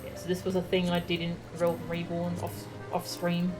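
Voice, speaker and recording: Female game streamer, lower-register voice, playful and relaxed casual commentary. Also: normal speed. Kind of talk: casual livestream gameplay chat, reading game text aloud. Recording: game music behind the voice.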